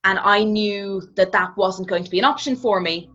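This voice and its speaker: A woman's voice: medium pitch, moderate pace, fairly bright tone. Reflective young Irish woman, muffled audio. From Ireland. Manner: reflective, often hedging with "kind of". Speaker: interview guest. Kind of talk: casual conversational storytelling. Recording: slightly dull, muffled audio.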